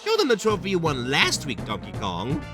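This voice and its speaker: A man playing a touchy, self-important hero in an animated comedy, haughty and indignant. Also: fairly high pitch, normal speed, over music.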